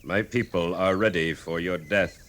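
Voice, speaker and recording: A man playing a proud, aggrieved war chief in an old-time radio drama, speaking stately, halting, broken English. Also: deep voice, fairly bright tone. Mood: solemn, aggrieved. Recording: old radio recording, faint noise.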